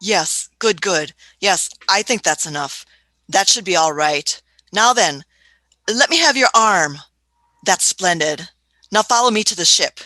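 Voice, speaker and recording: A woman playing a brisk, authoritative, eccentric doctor in a radio play, fussy and given to clipped repetitions. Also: fairly low pitch, animated, pushed and bright, no room sound. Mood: brisk, pleased. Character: brisk, authoritative, kindly but impatient eccentric time-travelling doctor.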